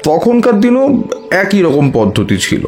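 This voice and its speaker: A man playing an animated, wise tantric storyteller. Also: sits high, soft background music. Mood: reminiscing, measured. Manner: measured storytelling with thoughtful hums and pauses. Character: wise tantric priest, older man, recounting eerie memories. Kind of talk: Bengali audio horror story acting.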